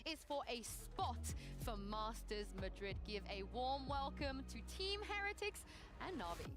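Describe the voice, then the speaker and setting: A woman's voice: high-pitched, big pitch swings, normal speed, faint music behind. A woman hosting an esports broadcast desk, upbeat and handing questions off to analysts.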